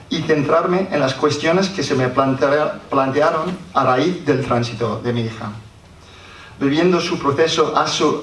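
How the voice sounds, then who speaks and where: A man's voice: on the high side, fairly bright tone. A man from Scotland with a Scottish accent, speaking Spanish as a second language, reads a prepared conference talk carefully and often restarts words. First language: English. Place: public hall. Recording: roomy hall, distant mic, faint noise.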